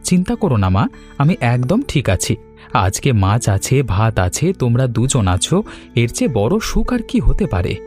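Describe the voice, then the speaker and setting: A man's voice: medium pitch, unhurried, faint music behind. A man playing a devoted, food-loving Bengali son in a cartoon, affectionate and contented in manner. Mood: contented, reassuring. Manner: affectionate, expressive. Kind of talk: animated moral story voice acting.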